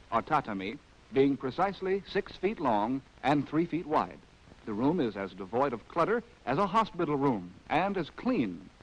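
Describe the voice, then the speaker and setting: A man's voice: medium pitch, lo-fi sound. An American man narrating a scripted 1940s training film in a wry, arch, theatrical way, on a vintage film soundtrack.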